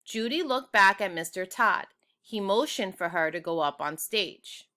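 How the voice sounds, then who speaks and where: A woman reads a children's book aloud. Her voice is bright and sits at an average pitch. She narrates expressively and addresses her young listeners directly.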